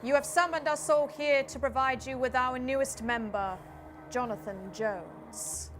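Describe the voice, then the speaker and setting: A woman's voice: high voice, unhurried, over music. A woman acting an imperious, menacing cult leader with a formal, theatrical manner in a comedy. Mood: grandiose. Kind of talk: scripted audio comedy acting.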